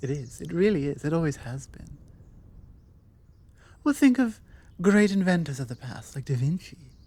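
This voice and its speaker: A British woman playing a forward, self-assured female vampire in a one-sided, conversational ASMR roleplay, with measured delivery and deliberate pauses. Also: low voice, sing-song, warm tone, husky, heavily acted. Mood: earnest, confiding.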